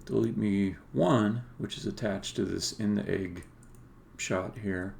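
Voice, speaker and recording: A man's voice: fairly low pitch, fairly even intonation, slow, vocal fry. A man casually thinking aloud as he live-codes a game, muttering to himself in short fragments. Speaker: game developer streaming live coding. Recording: background keyboard typing and clicking noise. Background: computer keyboard typing, mouse clicks.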